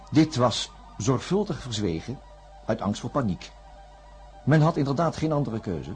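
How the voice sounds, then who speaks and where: An actor playing an anxious, conscientious doctor in a science fiction radio drama, with a grave delivery that turns halting under strain. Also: male voice, mid-range voice, a little mellow, natural voice. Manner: grave, halting when agitated. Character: anxious, conscientious male doctor narrating a disaster.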